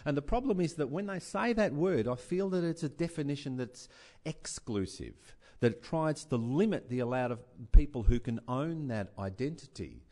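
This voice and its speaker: A man's voice: a little high, lively intonation, moderate pace, dark tone. A man speaking on a writers festival panel, earnest and reflective, often restarting and repeating words as he thinks aloud. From Australia. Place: writers festival session before an audience.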